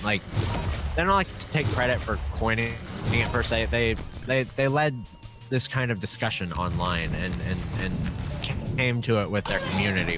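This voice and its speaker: A man co-hosting a let's play, chatting casually and jokingly with some swearing. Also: lowish voice. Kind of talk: casual gaming chat. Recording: game music behind the voice. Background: video game music.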